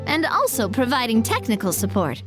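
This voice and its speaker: A gracious young company president for a video game. Her voice is high and melodic, her manner polite, composed and lightly teasing. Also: huge pitch swings, moderate pace, over music. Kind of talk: animated video game acting.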